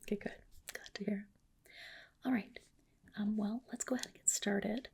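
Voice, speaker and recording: A woman whispering softly in an ASMR roleplay as a clinic doctor, gentle, polite and reassuring, with a breathy delivery. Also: medium pitch, steady tone, normal speed. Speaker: ASMR host roleplaying a dermatologist. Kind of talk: soft whispered ASMR personal-attention roleplay.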